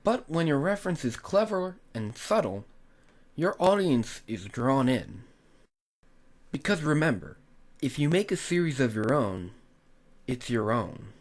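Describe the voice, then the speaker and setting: A man's voice: mid-range voice, sing-song, unhurried, pauses often. A man narrating a scripted tutorial as its host, instructing the viewer directly and with emphasis. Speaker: host of a tutorial on making abridged series.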